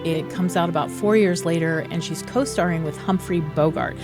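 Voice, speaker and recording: A woman's voice: lowish voice, normal speed, over music. A woman hosting a podcast, talking about films in a conversational, emphatic way. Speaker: podcast host. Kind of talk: film discussion and recommendations.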